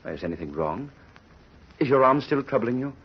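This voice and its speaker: An actor playing an earnest, hesitant young bridegroom in a 1930s radio drama. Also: male voice, medium pitch, big pitch swings, normal speed, close to an everyday voice. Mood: bewildered. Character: earnest, troubled young bridegroom, polite son-in-law. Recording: muffled, noisy old radio recording.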